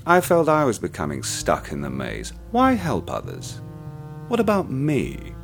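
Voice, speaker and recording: An actor narrating as a cold, self-satisfied killer, confessing in a slow, brooding way. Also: male voice, mid-range voice, huge pitch swings, vocal fry, faint music behind, heavily acted. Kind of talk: dark first-person narration in an audio drama.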